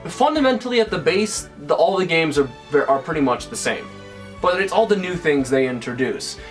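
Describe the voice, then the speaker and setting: A man's voice: fairly high pitch, animated, a little fast, soft background music. A nineteen-year-old man talking casually to camera in a vlog, rambling with many restarts and fillers. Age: young adult. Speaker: YouTuber.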